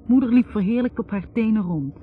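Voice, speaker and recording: An actress playing a wounded, bitter young academic woman confessing in a halting, accusing way in a 1960s radio drama. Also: a little high, animated, soft background music, close to an everyday voice. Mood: bitter, weary. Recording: tinny narrow-band 1960s radio recording.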